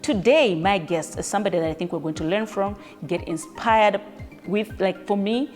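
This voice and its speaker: A woman's voice: medium pitch, moderate pace, darkish tone, faint music behind. A woman hosting a conversational interview, upbeat and asking encouraging questions. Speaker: interview host.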